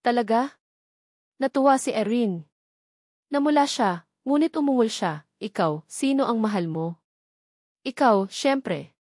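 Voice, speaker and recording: A woman's voice: medium pitch, a little mellow, dry recording, clean studio sound. A woman reading scripted fiction narration as an audiobook narrator.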